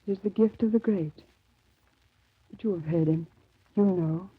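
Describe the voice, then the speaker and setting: An actress playing a grieving, devoted fiancee with halting, fervent delivery in a 1930s radio drama. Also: female voice, fairly low pitch, husky. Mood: reverent grief. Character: grieving, devoted bereaved fiancee, pleading and reverent. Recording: muffled, tinny 1930s radio recording.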